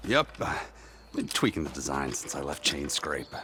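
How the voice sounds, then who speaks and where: A man's voice: a bass voice, big pitch swings, normal speed, bright, forceful tone, a little hiss or hum, exaggerated voice. A man playing a chatty, good-natured rustic tinkerer in a video game, rambling and mildly grumbling in a folksy way. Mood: chatty, amiable.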